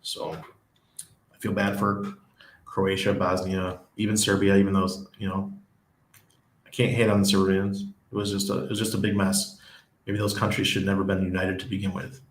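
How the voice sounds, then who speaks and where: A man in his forties with a Western New York accent, talking in a low voice with a fairly flat, even pitch. He chats casually and chuckles often while reading viewer comments aloud. His voice rings in an echoey home room. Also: normal speed, a little hiss or hum. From Western New York, USA. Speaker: livestream host. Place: small home room with neon shelf lights. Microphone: desk mic on a stand beside him.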